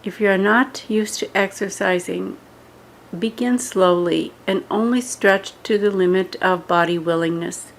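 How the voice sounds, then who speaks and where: A woman giving scripted guided yoga meditation instructions in a measured way, pausing between short phrases. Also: medium pitch, gravelly, poor audio.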